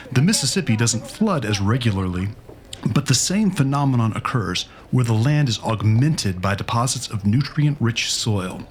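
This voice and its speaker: A man's voice: medium pitch, lightly acted. An earnest male history teacher , played by an actor, lectures teenagers in a mid-range voice. His delivery is scripted and explanatory, in a comic dub with faint noise behind it. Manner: didactic, explanatory.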